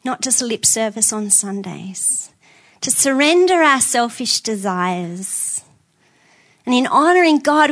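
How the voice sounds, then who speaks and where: A woman's voice: sits high, a bit bright. A woman preaching a conversational sermon in a church, earnest, with self-deprecating asides. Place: church auditorium with screens.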